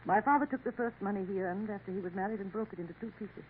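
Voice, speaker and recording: An actress playing a sorrowful young woman in a 1950s radio drama, gentle and hesitant. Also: mid-range voice, racing, lightly acted. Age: young adult. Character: sorrowful young woman working a Paris café. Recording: tinny old radio broadcast, faint hiss.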